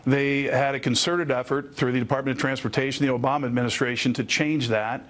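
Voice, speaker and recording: Mid-pitched man, measured interview guest. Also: fairly even intonation, fairly bright tone. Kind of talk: explanatory news interview.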